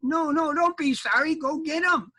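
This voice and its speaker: Guy doing a chattery storybook mama armadillo, high and squeaky, fussy and bossy, bright breathy voice. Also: a little slow, exaggerated voice. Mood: urgent.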